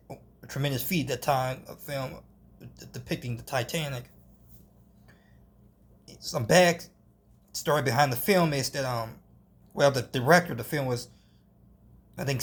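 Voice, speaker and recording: Man, amateur film reviewer; hesitant, rambling delivery, restarts often, middle-range voice. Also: mid-range voice. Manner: halting, with frequent restarts and hedging.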